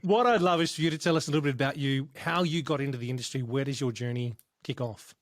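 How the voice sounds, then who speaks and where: A man's voice: mid-range voice, fast talker. A man hosting a podcast in casual interview chat, with an informal, matey, enthusiastic manner. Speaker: podcast host.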